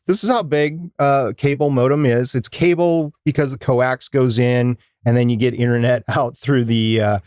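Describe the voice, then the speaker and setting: A man's voice: medium pitch, no room sound. Chatty man co-hosting a podcast, dry sound. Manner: chatty, explanatory storyteller. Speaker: podcast co-host. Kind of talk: casual podcast talk.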